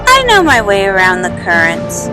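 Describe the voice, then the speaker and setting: A woman voicing a loyal, caring, determined young sea-creature sister in an animated comic dub. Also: medium pitch, moderate pace, over loud music, rough recording. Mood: gentle, reassuring.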